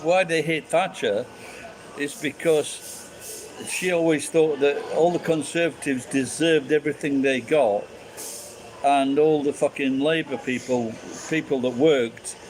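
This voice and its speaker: A man's voice: on the high side, unhurried, darkish tone, rough recording. A man from England with a Sheffield accent, ranting bluntly and swearing in casual chat. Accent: Sheffield English.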